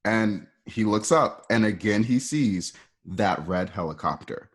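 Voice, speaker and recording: Lowish-voiced man narrating scene directions. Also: lively intonation, measured pace, breathy edge. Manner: informal, improvised asides to listeners. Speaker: narrator reading stage directions. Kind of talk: live table-read narration of a screenplay.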